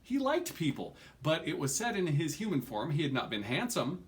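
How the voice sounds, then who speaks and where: A man's voice: fairly high pitch, wildly swooping pitch, moderate pace. A man in his forties reading a fantasy novel aloud with dramatic emphasis and the odd stumble, recorded at a desk at home. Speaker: reader of an audiobook. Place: small furnished room at home, indoors.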